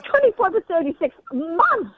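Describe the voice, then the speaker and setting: High-pitched animated woman on a phone line. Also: moderate pace. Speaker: prank call target. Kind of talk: phone conversation. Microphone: telephone. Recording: band-limited phone line on radio show.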